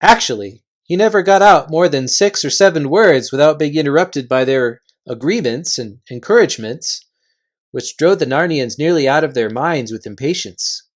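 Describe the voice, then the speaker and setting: A man narrates a storybook aloud. His pitch moves around a lot, giving the reading an expressive, animated feel. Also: moderate pace. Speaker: volunteer reader.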